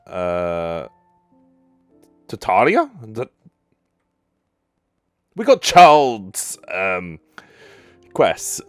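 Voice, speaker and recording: A man giving casual let's-play game commentary with hesitant, murmured reactions. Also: on the low side. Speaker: gamer, let's-play host. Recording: game music behind. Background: game music.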